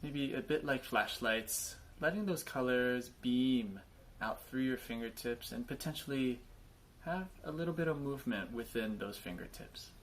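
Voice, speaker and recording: A man leading a guided body scan meditation, speaking in gentle invitations with frequent pauses. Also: medium pitch, a little hiss or hum.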